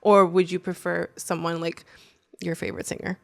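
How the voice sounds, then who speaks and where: Eager lower-voiced woman podcast co-host. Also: level tone, creaky, no echo, studio quality. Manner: eager interviewer, halting restarts, upbeat. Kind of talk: casual chat.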